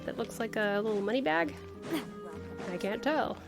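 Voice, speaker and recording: A woman's voice: on the high side, moderate pace, vocal fry. A woman giving casual livestream commentary on a video game, thinking aloud in a playful, exclaiming manner. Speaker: game streamer. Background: game music.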